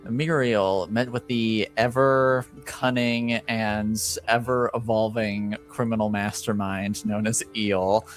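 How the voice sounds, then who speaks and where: A man hosting a tabletop roleplaying stream as game master, speaking casually and haltingly with long pauses. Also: fairly low pitch, level tone, faint music behind.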